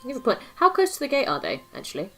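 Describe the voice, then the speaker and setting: A woman's voice: high-pitched, huge pitch swings, rapid-fire, vocal fry, faint music behind. A woman playing a tabletop game, asking questions and suggesting plans in casual chat.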